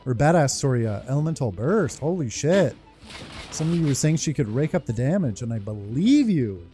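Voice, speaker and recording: A man giving casual gameplay commentary as a video host, excitable, sweary and informal. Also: medium pitch, normal speed. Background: game music.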